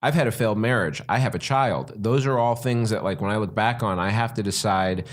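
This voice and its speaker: A man with a fairly low voice talks through his ideas on a podcast. He is earnest and thorough, and he keeps slipping into quick voiced asides for the people he describes. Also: slight background noise. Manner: earnest, explains at length, acts out imagined people's thoughts. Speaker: guest, stand-up comic. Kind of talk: casual podcast conversation.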